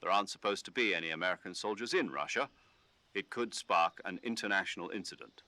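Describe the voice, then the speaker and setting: A man voicing a calm commanding officer who gives instructions over the radio in a game. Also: deep voice, no echo. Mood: steady. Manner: measured, instructive briefing tone. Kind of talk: game dialogue.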